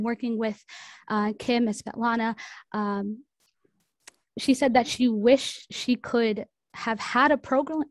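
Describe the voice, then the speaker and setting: A woman with a slightly high voice speaks haltingly on a video call, pausing and apologizing as she goes. Her sound is close and dry. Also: dry recording. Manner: halting, apologetic, full of fillers. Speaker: panelist. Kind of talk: emotional personal sharing.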